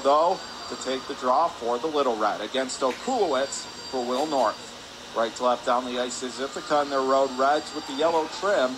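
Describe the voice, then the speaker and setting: A man calling live radio hockey play-by-play in clipped phrases from an ice rink. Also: medium pitch. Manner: clipped rapid phrases, rising on shots. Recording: clear background noise, arena ambience.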